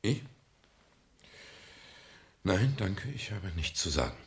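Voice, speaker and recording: Gravelly, low-voiced, laconic private detective. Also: male voice, very animated, slow pace, exaggerated voice. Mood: dry, pondering. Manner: laconic, dry. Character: dry, hesitant, unflappable male private detective. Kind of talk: German radio crime drama acting, partly narration. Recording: noisy 1970s radio drama recording.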